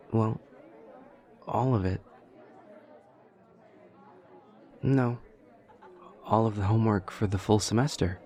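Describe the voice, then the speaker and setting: A man playing an awkward, earnest, literal-minded demon college student in an audio roleplay, speaking in a stilted, over-precise, deadpan way with hesitant pauses. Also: deep voice, close to an everyday voice. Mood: matter-of-fact, curious. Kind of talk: intimate audio roleplay acting.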